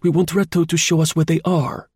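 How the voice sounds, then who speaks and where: A man's voice: on the high side, flat delivery, fairly quick, a little mellow, husky, no room sound, voice pushed up. A man voicing a masterful, sarcastic agent in command, curt, decisive and dry, in a dramatised storybook. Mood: acerbic, dry. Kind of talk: dramatised audiobook dialogue.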